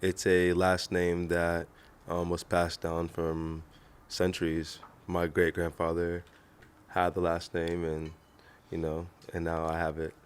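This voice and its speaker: A man's voice: low voice, monotone, slow, clean tone. A man as a podcast guest, chatting casually in a laid-back manner. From Nigerian heritage, grew up in the United States. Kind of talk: casual chat.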